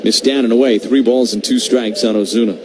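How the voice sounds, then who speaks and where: A man doing live baseball play-by-play on radio, talking in measured short phrases with conversational asides. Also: medium pitch, fairly even intonation. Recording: clearly noisy live radio broadcast.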